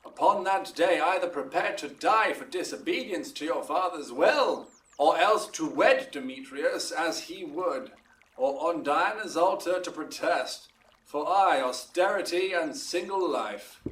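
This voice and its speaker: An actor playing a lordly, measured duke in a Shakespearean audio drama, with stately, declamatory delivery. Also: male voice, a little high, animated, a bit bright. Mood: stern decree. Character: lordly, measured duke of Athens passing judgment. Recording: roomy echo, distant from mic.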